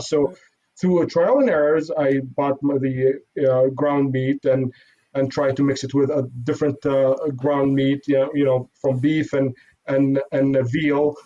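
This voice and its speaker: A man from Iraq, a modest interview guest who talks haltingly with many restarts. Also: mid-range voice, fairly even intonation, a little mellow. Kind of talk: casual interview. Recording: dull lo-fi sound.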